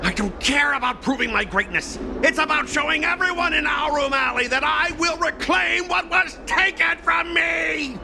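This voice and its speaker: An actor voicing a petty, boastful video game villain and sore loser, theatrical and prone to shouting. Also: male voice, extremely high pitch, moderate pace, gravelly, hardly any pauses, music in the background, heavily acted. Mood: indignant, boastful. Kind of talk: video game voice acting, melodramatic villain monologue.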